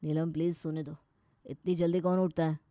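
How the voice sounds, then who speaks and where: A woman acts out an irritable young husband in a dramatised moral story. He is scornful and short-tempered, scolding in a loud, sarcastic way. The sound is dry. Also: deep voice, flat delivery, brisk, no echo, close to an everyday voice. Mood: grumpy.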